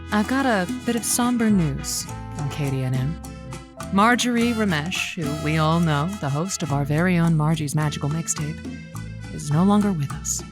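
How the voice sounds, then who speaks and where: An actress playing a playful, irreverent late-night radio advice host in an audio drama, with a theatrical, wry, flirty delivery. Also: female voice, low voice. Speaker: radio call-in show host.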